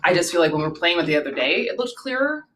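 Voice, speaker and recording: Lively American woman hosting talk radio. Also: fairly low pitch, rapid-fire, a little hiss or hum. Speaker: radio show host. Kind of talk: live talk radio, casual banter.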